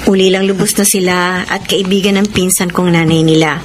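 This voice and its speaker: A woman's voice: lowish voice, steady tone, a little fast, background noise, natural voice. A Filipino woman playing a kindly, earnest wife and adoptive mother in a radio drama. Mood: earnest, gentle.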